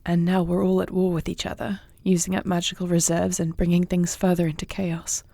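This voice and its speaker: A woman plays a weary, solemn shifter war leader in a fantasy audio drama. Her voice is lowish, dark and gravelly, with a flat, even delivery. The sound is dry. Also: brisk, no room sound. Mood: troubled, subdued. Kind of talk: audio roleplay acting, fantasy dialogue.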